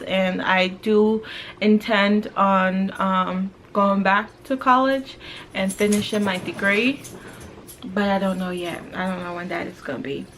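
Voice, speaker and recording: A woman's voice: medium pitch, a bit bright, a little hiss or hum. A young woman from Jamaica, chatting casually through a Q and A vlog in a laid-back way, full of fillers. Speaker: vlogger.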